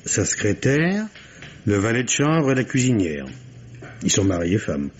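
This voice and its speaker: A man's voice: lowish voice, very animated, measured pace, breathy edge, exaggerated voice. An actor playing an easygoing, deferential male police assistant in a vintage radio mystery, informal and a little hesitant. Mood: reporting, hesitant. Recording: dull, noisy old radio recording.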